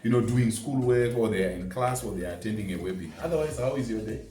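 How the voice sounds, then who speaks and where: A man's voice: mid-range voice, fast talker, dark tone. A man giving a conversational motivational talk, illustrating his points with everyday examples. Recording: echoey room, distant mic, music underneath. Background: music.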